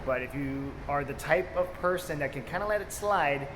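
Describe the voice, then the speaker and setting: A man with a slightly high voice hosts a casual, unscripted film review. He rambles informally, like an enthusiastic fan. The sound is very echoey, with clear noise behind him. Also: normal speed. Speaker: movie review host. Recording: echoey reverberant room, clear background noise.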